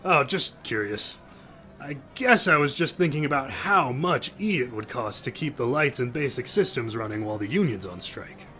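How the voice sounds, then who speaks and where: A man's voice: medium pitch, animated, normal speed, bright, forceful tone, faint music behind. A man voicing a small, sly, grumbly alien factory worker in an audio drama. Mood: sly, casual.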